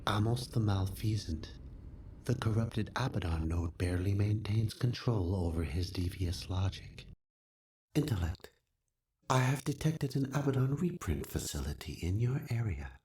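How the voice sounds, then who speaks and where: An actor playing a calm, formal artificial intelligence, a steward of humanity, giving measured and precise game briefings. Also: male voice, on the low side, close to an everyday voice. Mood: formal, commanding.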